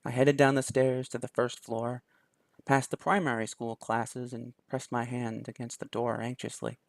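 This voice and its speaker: A man's voice: medium pitch, little pitch movement, normal speed, gravelly, no echo. A man reading a visual novel aloud in first person, with small restarts. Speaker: let's play host.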